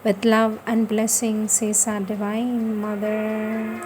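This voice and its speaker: A woman gives a slow devotional reading of spiritual prose, pausing between short, measured phrases. Her pitch sits in a middle range for a woman. A faint ambient noise runs behind the recording. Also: completely flat delivery. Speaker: reader of spiritual texts.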